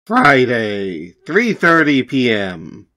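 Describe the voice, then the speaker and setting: A man's voice: mid-range voice, big pitch swings, drawn-out words, sharp tone, pitched below the performer's own voice, heavily acted. A man playing a solemn, dramatic comic-book narrator with a grave, portentous manner.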